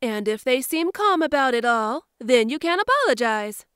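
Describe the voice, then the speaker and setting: Woman acting a high, lively, teasing bat lady. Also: moderate pace, smooth, no room sound, studio quality. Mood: coaxing, reassuring. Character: flirty, bossy, caring adult female bat. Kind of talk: fan audio drama acting.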